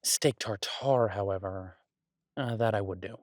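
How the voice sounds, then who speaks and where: A man playing an exasperated but affectionate restaurant chef in an intimate boyfriend audio roleplay, talking conversationally with halting, stammered restarts. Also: fairly low pitch, no room sound, clean studio sound, lightly acted. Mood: exasperated, musing. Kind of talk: intimate boyfriend roleplay, one-sided casual dialogue.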